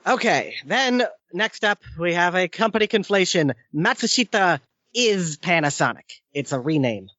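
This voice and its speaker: Man, podcast co-host, slightly high voice, dry teasing, dead dry lo-fi sound. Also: animated, normal speed, bright, forceful tone, dry recording. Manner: dry, teasing, corrects facts bluntly. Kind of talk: casual chat. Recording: dry dull lo-fi podcast audio.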